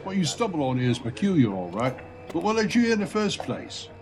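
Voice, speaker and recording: A man playing a curious, cautious, polite agent in a science fiction audio drama, measured and skeptical. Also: fairly high pitch, very animated.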